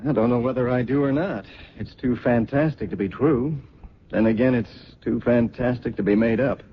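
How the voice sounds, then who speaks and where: An actor playing a skeptical, probing investigator in an old-time radio drama, questioning in a dry manner. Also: male voice, medium pitch, normal speed, a little hiss or hum, lightly acted. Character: skeptical, probing male investigator handling a body. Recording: dull old radio recording.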